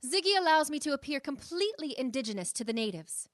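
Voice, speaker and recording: An actress playing a proud, no-nonsense anthropologist adventurer, assertive and precise, in a sci-fi audio drama. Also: female voice, high voice, sing-song, fast talker, no room sound, studio quality. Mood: composed.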